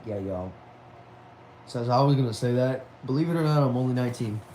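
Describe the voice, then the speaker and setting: A man's voice: mid-range voice, a little fast, dark tone, a little hiss or hum, low-quality recording. A man of about twenty chatting casually on a livestream at home, reading viewer messages aloud in a laid-back, talkative way, dropping "y'all" and "man". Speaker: livestream host.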